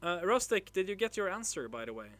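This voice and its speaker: A man from northern Norway, hosting a casual livestream and chatting with viewers in a halting way, full of filler sounds and restarts. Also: sits high, very animated, fairly bright tone. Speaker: livestream host. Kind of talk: casual livestream chat, reading chat messages.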